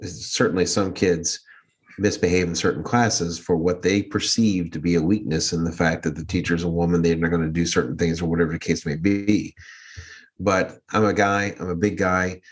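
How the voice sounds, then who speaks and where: A man's voice: low voice, steady tone, normal speed. Man with a big, assertive voice. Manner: assertive, frank, wry. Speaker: teacher, podcast co-host. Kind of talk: reflective casual discussion.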